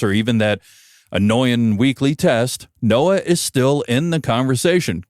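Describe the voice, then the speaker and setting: American man, animated wry podcast monologue. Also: mid-range voice, dry recording, clean studio sound. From United States. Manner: wry, emphatic, opinionated. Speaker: podcast host, broadcast engineer. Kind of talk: opinion podcast monologue, conversational.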